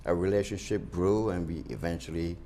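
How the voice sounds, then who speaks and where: A man's voice: low-pitched, steady tone, fairly quick, dark tone, slight background noise. An older man from Barbados, a pastor, answering interview questions earnestly with many restarts.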